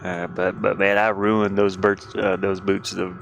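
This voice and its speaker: Male casual streamer, low register with plenty of fry, meandering think-aloud delivery, light heater noise in a van. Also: little pitch movement, unhurried, fairly bright tone. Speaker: game developer livestreamer. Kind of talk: casual livestream chat while working. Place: inside a van. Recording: background noise from diesel heater fan. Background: heater hum and clicking.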